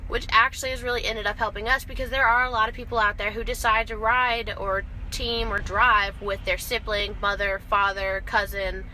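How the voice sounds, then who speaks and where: Bright-voiced young woman, blunt vlog chat. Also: mid-range voice, moderate pace, hardly any pauses, low-quality recording. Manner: blunt, bantering with co-host. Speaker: team truck driver and video host. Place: inside a truck cab. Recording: steady vehicle and road noise.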